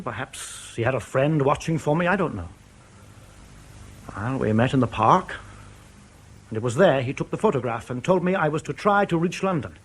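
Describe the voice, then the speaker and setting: Actor as measured, reflective East German defector. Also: male voice, medium pitch, lightly acted. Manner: measured, deliberate, ruminative. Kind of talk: radio drama dialogue.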